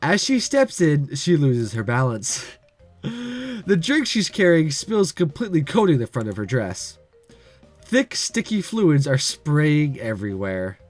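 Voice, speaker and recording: A man's voice: on the high side, big pitch swings, unhurried, faint music behind. A man hosting a let's play, reading a visual novel aloud and breaking off for casual, joking commentary.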